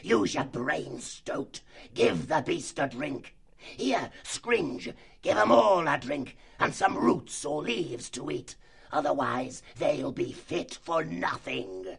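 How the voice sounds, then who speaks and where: Man putting on a cruel fox slaver chief, raspy and husky, slow, drawn-out menace, storybook villain. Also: fairly high pitch, lively intonation, a bit bright, pitched above the performer's own voice, heavily acted. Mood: menacing. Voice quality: harsh, grating. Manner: menacing, bullying, drawn-out threats. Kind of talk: audiobook character voice.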